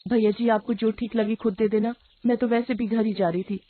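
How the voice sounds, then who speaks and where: A woman's voice: fairly high pitch, steady tone, fairly quick, no room sound, lightly acted. Woman doing poor determined girl in a moral story, polite n pleading, bit muffled. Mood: grateful.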